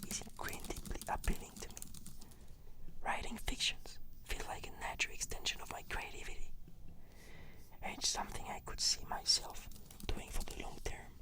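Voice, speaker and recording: Whispered ASMR, roleplay patient, scripted answers, fluent, measured, reflective.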